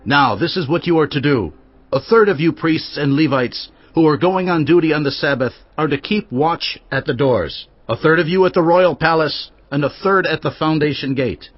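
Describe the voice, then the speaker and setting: A man acts out a stern, commanding priest in an audio drama, handing out orders with firm authority. His voice sits fairly high for a man and comes through a little dull. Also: normal speed, no room sound, natural voice. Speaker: voice actor. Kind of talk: dramatized audio Bible acting.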